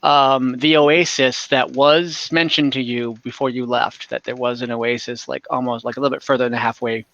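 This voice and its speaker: A man narrating an online fantasy tabletop game as game master, explaining things in a casual, halting way. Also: mid-range voice, little pitch movement, a bit bright, no room sound, dull audio.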